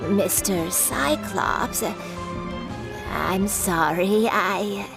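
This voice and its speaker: An elderly female shopkeeper in a video game. She is grandmotherly and shrewd, speaking slowly with theatrical rises and falls, with music underneath. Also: medium pitch, expressive, gravelly, full character voice. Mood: hesitant. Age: elderly character. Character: kindly old granny kite seller, shrewd but indulgent.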